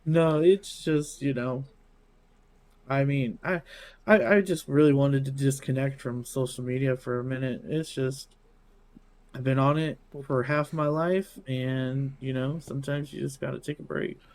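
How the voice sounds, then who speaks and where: A man's voice: medium pitch, fairly even intonation, measured pace, darkish tone. A man co-hosting a podcast, talking in casual, conversational fashion. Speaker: podcast co-host. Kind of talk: casual chat.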